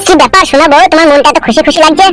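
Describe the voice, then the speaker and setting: Whiny childish cartoon villager, crazy fast squeaky voice, music playing behind. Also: female voice. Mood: pleading. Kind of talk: exaggerated cartoon comedy dialogue.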